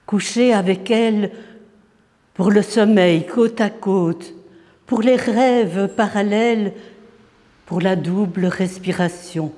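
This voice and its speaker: A man with a high voice recites poetry dramatically. He goes very slowly, setting each phrase apart with deliberate pauses. The sound carries a long, hall-like reverberation. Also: mellow.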